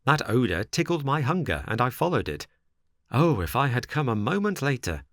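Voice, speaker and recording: A man's voice: medium pitch, sing-song, normal speed, fairly bright tone, no room sound, studio quality, voice pushed up. A man voicing a kind, hungry male dog with an earnest, plain-spoken manner. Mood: earnest, kindly.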